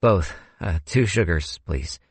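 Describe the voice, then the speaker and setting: A man's voice: low-pitched, animated, slow pace, slightly rough, no echo, exaggerated voice. Guy reading as awkward young man, kinda understated and polite, dry close sound, audiobook dialogue. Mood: guarded. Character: reserved young American man, awkward amateur private detective.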